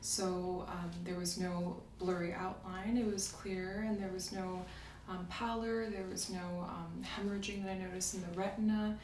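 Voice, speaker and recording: Soft-spoken woman examiner in a roomy space. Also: fairly low pitch, level tone, normal speed. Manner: gentle, step-by-step clinical explaining. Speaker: ASMR host playing a medical examiner. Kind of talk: soft-spoken ASMR medical roleplay. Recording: roomy echo, distant mic, faint noise.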